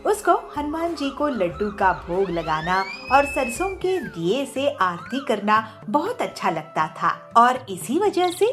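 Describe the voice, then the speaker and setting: A woman narrates a scripted tale for children. Her medium-pitched voice is very expressive in intonation. A faint music bed plays behind her.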